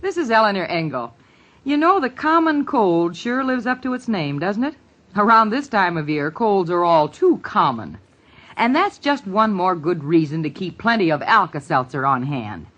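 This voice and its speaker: Animated woman in a vintage radio ad. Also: lowish voice, moderate pace. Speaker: commercial spokeswoman. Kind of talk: 1950s scripted radio advertisement. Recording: dull, band-limited 1950s radio recording.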